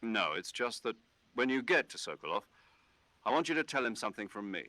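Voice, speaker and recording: A man's voice: medium pitch, sing-song, a little fast, sharp tone, no room sound. A man playing an older, authoritative military commander giving precise mission orders in a video game. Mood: measured.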